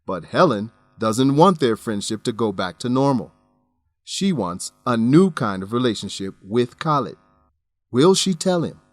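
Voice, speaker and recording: A man reading scripted English-lesson narration as a teacher, deliberate, instructional and carefully enunciated. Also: medium pitch, dry recording.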